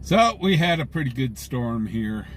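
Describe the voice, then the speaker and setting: An American man hosts a casual live stream from a vehicle, talking slowly in a folksy, joking manner. His pitch swings up and down freely as he chats with viewers. Faint vehicle noise sits behind his voice. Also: sing-song. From United States. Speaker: livestream host, independent scanner chaser. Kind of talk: casual livestream chat with viewers. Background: faint vehicle and ambient noise.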